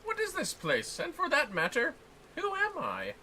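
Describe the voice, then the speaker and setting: A performer voicing a pompous, theatrical gentleman inspector who fancies himself an undead overlord, with florid, melodramatic speech. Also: high-pitched, wildly swooping pitch, moderate pace, background noise, pitched above the performer's own voice. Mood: dazed. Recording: music behind the voice.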